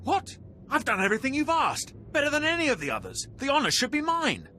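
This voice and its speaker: High, sharp, indignant Sith woman. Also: huge pitch swings, brisk, a little hiss or hum, full character voice. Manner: haughty, sharp. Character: proud, ambitious, indignant female Sith acolyte. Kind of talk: scripted video game drama.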